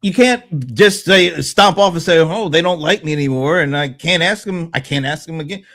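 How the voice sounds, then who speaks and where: A man in his fifties in casual panel chat, thinking aloud in a halting way and repeating words. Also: a little high, fairly bright tone. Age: 50s. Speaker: panelist on a small business show.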